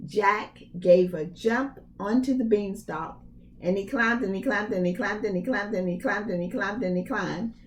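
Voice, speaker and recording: A woman's voice: medium pitch, moderate pace, slight background noise. A woman reading a storybook aloud to young children expressively, with casual asides and emphatic phrasing. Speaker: preschool read-aloud storyteller.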